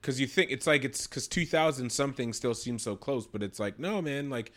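Male podcast guest, average pitch, easygoing, halting restarts. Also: fairly bright tone. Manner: agreeable, quick "yeah"s, halting restarts. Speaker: podcast guest, film commentator. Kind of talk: casual film podcast chat.

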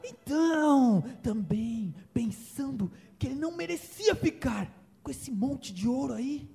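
A performer voicing Rostabal, a brutal scheming brother in an amateur radio drama, speaking low and conspiratorially. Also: animated, unhurried, gravelly, warm tone, in a large hall, soft background music, exaggerated voice.

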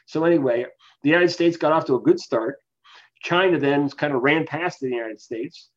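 A man speaking as an expert guest, giving explanatory interview answers in a measured, analytical way. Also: on the high side. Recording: dull, lo-fi voice capture.

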